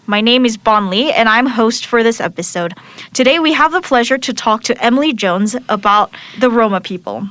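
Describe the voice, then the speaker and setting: A woman hosts an interview podcast in a slightly high voice. She reads her introduction and questions politely from notes. The sound is dry, with no room echo. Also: a bit bright. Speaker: podcast host.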